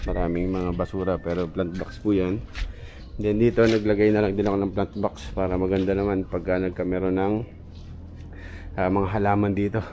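A man's voice: low-pitched, flat delivery, brisk, warm tone, slight background noise. Chatty man giving a house tour. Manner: chatty, points things out with repeated fillers. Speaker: contractor showing a renovated house. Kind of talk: casual walkthrough vlog. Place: outdoors on a street and inside an empty house. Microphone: handheld action camera.

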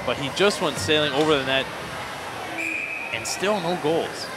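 A man's voice: fairly high pitch, lively intonation, measured pace. A man giving colour commentary on a hockey shootout, breaking down each shot with eager praise. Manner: enthusiastic analysis between plays. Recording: crowd and arena noise behind.

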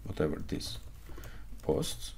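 A man's voice: takes it slowly, a little mellow, slight background noise. A man in his forties who is not a native English speaker, giving a live coding talk at a conference and explaining step by step with frequent "okay?" checks. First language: not English; speaks Hebrew, Russian, Ukrainian. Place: home room at desk.

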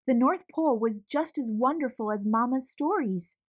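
A woman narrating a children's storybook as a read-aloud host, expressive, measured and clearly enunciated. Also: fairly high pitch.

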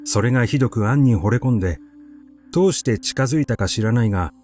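Man reading a story with lively intonation. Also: medium pitch, quick pace, soft background music. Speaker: audiobook reader.

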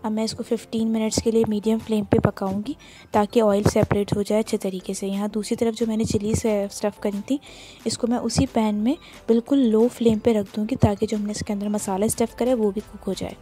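A woman's voice: mid-range voice, little pitch movement, brisk, dark tone, slightly rough. A woman with a Pakistani Urdu accent, walking through a home recipe step by step as she cooks in her kitchen. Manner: plain, instructive, explains each step while working. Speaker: cooking channel host.

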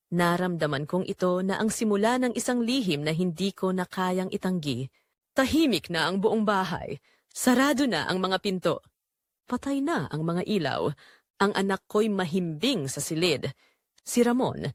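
A woman's voice: medium pitch, no room sound, studio quality. A woman narrating a romance audio drama as a yearning married mother confessing forbidden attraction.

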